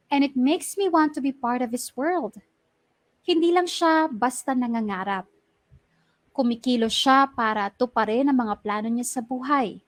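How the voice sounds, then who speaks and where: A high-pitched actress playing a young Filipino woman tells her own story in a radio drama. The recording is dry and close, and her scripted first-person narration is earnest and reflective. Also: dry recording, natural voice.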